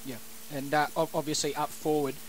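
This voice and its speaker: Slightly hoarse man; hesitant radio questioner. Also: on the high side, flat delivery, a little fast, slight background noise, low-quality recording. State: voice going hoarse. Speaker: radio interviewer.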